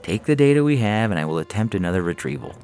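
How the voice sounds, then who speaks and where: A man's voice: lowish voice, very animated, quick pace, a little mellow, voice pushed up. A man voicing a weary, empathetic alien data technician who explains defensively in a science fiction story, over music. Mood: resigned. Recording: music under the voice.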